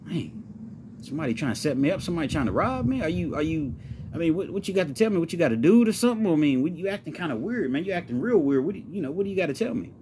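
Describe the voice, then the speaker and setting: A man's voice: fairly high pitch, very animated, racing, darkish tone, slight background noise, voice pushed up. A man playing a hot-tempered, wounded man who got catfished, protesting in repetitive, indignant street talk. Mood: suspicious, uneasy.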